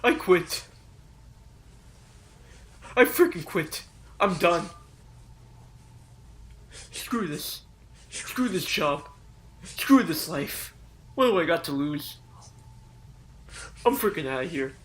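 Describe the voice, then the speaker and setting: A man voicing a desperate office worker who rants and yells near tears, in a comedy sketch. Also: a little high, animated, takes it slowly, long pauses. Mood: ranting. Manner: yelling, ranting, near tears. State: shouting. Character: fed-up young male office worker, desperate, exploding. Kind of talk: comedy voice acting.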